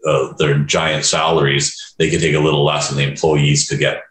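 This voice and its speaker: A man with an extremely deep voice gives his opinions in a casual focus group. He talks in halting bursts, padded with fillers and restarts. His voice comes over a video call from a noticeably echoey room. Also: little pitch movement, a bit bright.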